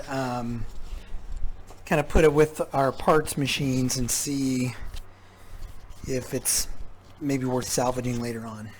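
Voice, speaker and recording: A man talking casually and haltingly as he thinks aloud, giving hands-on commentary as a repair vlogger. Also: mid-range voice, slight background noise. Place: indoor repair workshop.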